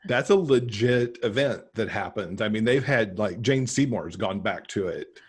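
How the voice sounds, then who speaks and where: A man's voice: lowish voice, lively intonation, normal speed, breathy edge. A middle-aged American man from Michigan chatting casually as a guest author on a video call. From Michigan, United States. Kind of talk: casual interview chat. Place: indoor room, video call.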